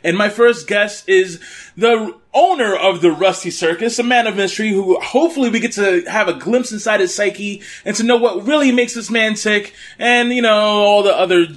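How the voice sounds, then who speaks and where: A man hosting a casual podcast from his apartment, loud and joking at his own expense into a desktop mic. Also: sits high, normal speed. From Brooklyn, New York. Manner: loud, jokey, self-mocking. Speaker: podcast host. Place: home apartment. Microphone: USB desktop condenser mic.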